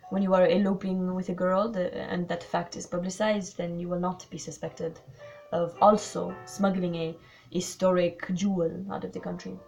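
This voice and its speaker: A woman's voice: fairly low pitch, little pitch movement, moderate pace, gravelly, a little mellow, soft background music. A woman voicing a fussy, smug older male Belgian detective, polite and dropping French words with some fillers.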